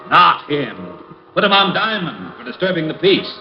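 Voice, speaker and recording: A curt police lieutenant. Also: male voice, fairly high pitch, sing-song, moderate pace, soft background music. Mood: ordering. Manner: curt, ordering. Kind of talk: 1950s radio drama acting. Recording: dull lo-fi echoey radio transcription.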